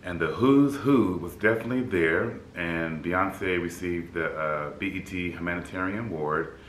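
A man in his fifties with a deep baritone voice, a bit scratchy in the throat, chatting upbeat to the camera in his apartment as a vlog host. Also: normal speed, fairly bright tone, reverberant. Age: 50s. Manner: upbeat, chatty, laughs easily. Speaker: flight attendant vlogger. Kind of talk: casual vlog chat. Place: apartment room by a city window.

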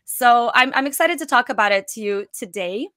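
A woman's voice: on the high side, expressive, normal speed, sharp tone, no echo. A woman hosting a podcast interview, upbeat and enthusiastic, speaking into a studio mic at home. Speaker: podcast host. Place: small home room by a window. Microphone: studio condenser mic, headphones.

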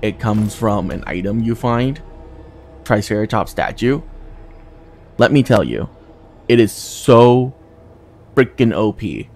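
A man's voice: fairly low pitch, animated, slow pace, pauses often, a little mellow, soft background music. A man casually commenting on his video game run, with chatty and exasperated asides. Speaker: gaming channel host.

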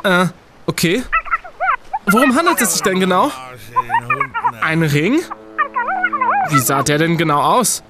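An actor playing a young student detective and jaunty team leader in an audio drama, commanding and given to quaint sailor slang. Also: male voice, high-pitched, expressive, a little slow, long pauses, very noisy. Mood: polite, businesslike. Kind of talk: German audio drama acting.

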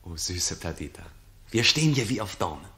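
Young royal lover, lively intonation, impassioned, anxious, sixties radio drama. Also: male voice, low voice, a little fast, full character voice. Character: ardent young prince, grateful and anxious. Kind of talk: 1960s German radio play acting.

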